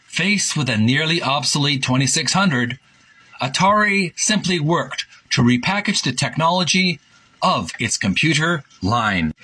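A man hosting a retro gaming video, reading a book aloud in a halting, deliberate way with casual commentary. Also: on the high side, pushed and bright, slightly rough, low-quality recording. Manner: halting, deliberate, repeats himself.

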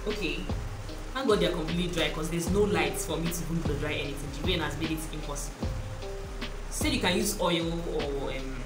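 A woman's voice: low-pitched, a little fast. A young woman with a Nigerian accent, chatting casually to a close camera in a small room, laughing often and teasing her own doubts. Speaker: vlogger. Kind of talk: casual hair vlog. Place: small room indoors, rain outside. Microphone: camera close, at arm's length. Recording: echoey room with background music.

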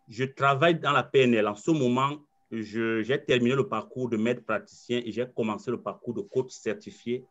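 A man's voice: mid-range voice, fairly bright tone. Earnest self-assured man on a video call. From Cameroon. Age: 40s. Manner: earnest, self-assured, repeats words while thinking. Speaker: coach and trainer. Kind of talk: webinar presentation. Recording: slightly muffled video call audio.

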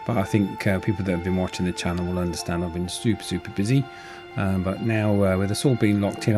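Low-voiced man over guitar music. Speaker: maker presenting his hobby build. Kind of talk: casual how-to narration. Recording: music behind the voice.

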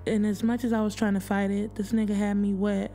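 A woman narrating first-person fiction as an audiobook reader, expressive and full of streetwise slang. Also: medium pitch, brisk. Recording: music bed under the voice. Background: background music.

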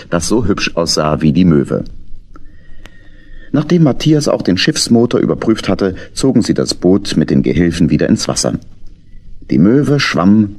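Male narrator for children's audio play, deep voice, animated melodic delivery. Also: breathy edge. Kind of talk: scripted children's story narration.

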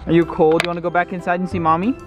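A man's voice: high voice, flat delivery, racing, over music. Young dad vlogging to his camera. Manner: playful, talks to his toddler in a sing-song way. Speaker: family vlogger. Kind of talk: casual family vlog. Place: outdoors in the snow and in a car.

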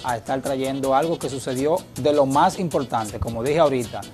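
A man's voice: mid-range voice, a little fast, a little mellow. A man co-hosting a studio talk show, explaining in an earnest manner. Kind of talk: casual studio chat. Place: TV studio set. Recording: TV broadcast with background music.

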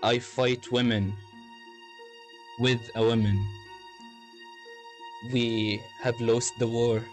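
Man playing a whiny hedgehog hero, unhurried. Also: medium pitch, flat delivery, darkish tone, natural voice. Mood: rambling, aimless. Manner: rambling, halting, petulant. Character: whiny, bossy young male hedgehog hero. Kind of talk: improvised comedic video game fandub. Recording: music under the voice. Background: music.

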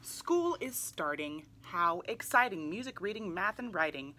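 A young adult woman reading a children's picture book aloud in an animated way, putting questions to young listeners. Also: lowish voice, unhurried, slight background noise. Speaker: read-aloud storyteller for children. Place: small indoor room, seated in armchair.

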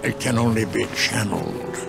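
Creaky old scheming master, slow and sly, game villain over loud music. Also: male voice, lowish voice. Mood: sly, persuasive. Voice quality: gravelly. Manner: slow, insinuating. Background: dramatic game music.

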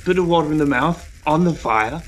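A man voicing a bossy, blustering gentleman adventurer who barks clipped, old-fashioned orders in a tabletop game. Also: a little high, brisk, a little hiss or hum, pitched above the performer's own voice, natural voice. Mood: quietly menacing. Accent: New Zealand.

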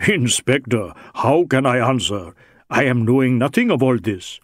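A man's voice: mid-range voice, sing-song, normal speed, no echo, studio quality. A man voicing a big, brawny Sikh rogue in an audiobook, sly and teasing, with wheedling mock deference. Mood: feigned innocence. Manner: wheedling mock deference, Indian English phrasing. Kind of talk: audiobook character dialogue.

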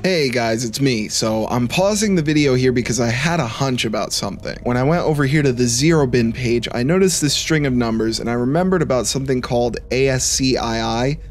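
A man's voice: mid-range voice, a little fast, hardly any pauses. A man in his thirties giving casual, conversational commentary to his viewers as a gaming YouTuber. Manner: informal, conversational. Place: small indoor room, seated at a desk. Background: music.

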